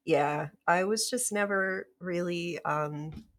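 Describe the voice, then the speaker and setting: A woman's voice: lowish voice, slow. A woman hosting a tech podcast in a casual interview, bubbly and laughing often, with lots of "like.". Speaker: podcast host.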